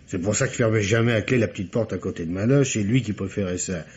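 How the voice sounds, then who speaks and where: A man's voice: on the low side, quick pace, darkish tone, natural voice. An actor playing an anxious, deferential factory night watchman in a French radio mystery, hesitant and pleading. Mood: defensive. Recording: dull vintage radio recording.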